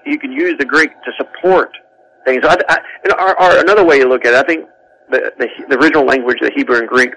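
A man's voice: medium pitch, fast talker, fairly bright tone, a little hiss or hum, poor audio. A man teaching about the Bible on a radio talk show, talking earnestly with frequent restarts and repeated words. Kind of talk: conversational teaching on a call-in show.

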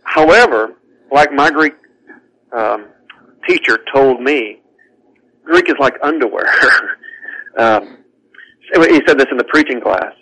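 A man's voice: mid-range voice, sing-song, slow, gravelly, long pauses, pushed and bright, no room sound, low-quality recording. A man teaching about the Bible on a radio talk show, talking earnestly with frequent restarts and repeated words. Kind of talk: conversational teaching on a call-in show.

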